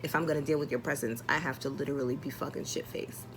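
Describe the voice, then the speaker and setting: A woman's voice: a bass voice, steady tone, fast, noisy background. A young adult woman telling a personal story casually, exasperated and blunt, acting out dialogue and swearing often.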